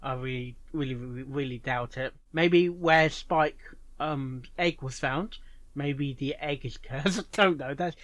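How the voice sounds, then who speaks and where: A man's voice: fairly high pitch, fairly bright tone, no echo. A man hosting a reaction video, musing and speculating aloud in unscripted commentary.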